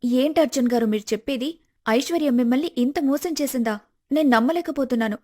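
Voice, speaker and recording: A woman voicing a polite, grateful young woman in an animated moral story, respectful and earnest. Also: high voice, a little fast. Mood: shocked, confused.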